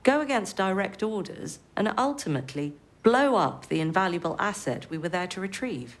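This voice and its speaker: An actress playing a stern, authoritative intelligence chief with a clipped, reproachful manner in a cinematic game. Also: female voice, mid-range voice, animated, moderate pace, lightly acted.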